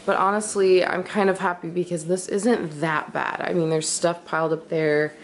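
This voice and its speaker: A woman's voice: fairly low pitch, level tone, normal speed, slight background noise. A young woman vlogging casually at home, chatty, with lots of "like" fillers and small laughs. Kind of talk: casual vlog chat. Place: home kitchen.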